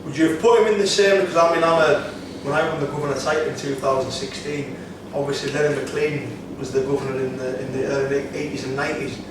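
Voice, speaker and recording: A man's voice: medium pitch, steady tone, fast talker, few pauses. A man hosting a podcast, firing eager, probing questions at his guest. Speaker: podcast host. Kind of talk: casual interview questions. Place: bar lounge, seated on a sofa. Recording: echoey room from far off, background hum.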